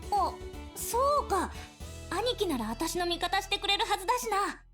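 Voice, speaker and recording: A woman voicing a brash, blunt teenage tomboy schoolgirl in a visual novel game, with rough slangy speech. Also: extremely high pitch, lively intonation, fast talker, a bit bright, soft background music. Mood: brash, defiant.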